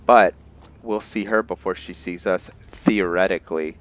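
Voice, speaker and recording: A man's voice: deep voice, moderate pace, lo-fi sound. A man giving casual roleplay commentary on a video game, often hesitant and trailing off mid-thought. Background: background music.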